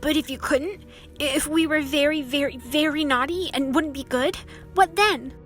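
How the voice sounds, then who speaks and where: A woman's voice: sits high, normal speed, a bit bright, faint music behind, voice pushed up. A woman voicing sad little girls in a storybook, plaintive and pleading. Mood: questioning, pleading.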